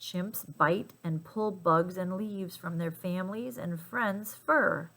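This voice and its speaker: A woman reading a children's picture book aloud in an expressive, emphatic and playful way for young listeners. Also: lowish voice, slow pace, vocal fry. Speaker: storybook reader.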